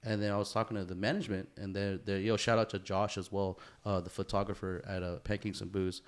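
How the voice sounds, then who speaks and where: Low-voiced American man, slangy banter. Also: fast talker, clean tone. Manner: slangy, animated banter peppered with "bro" and "dude". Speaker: podcast co-host. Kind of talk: casual chat.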